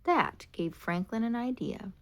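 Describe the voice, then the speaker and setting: A woman reading a children's picture book aloud in an expressive, animated way. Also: on the high side, measured pace. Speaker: storybook reader. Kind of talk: children's read-aloud narration.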